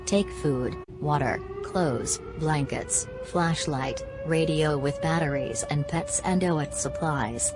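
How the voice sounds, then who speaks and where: A low, synthetic woman's voice delivers an emergency alert as an announcer would. The reading is formal, flat and impersonal, like a computer working through a script. Music plays clearly behind the words. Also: takes it slowly, hardly any pauses. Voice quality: synthetic text-to-speech voice.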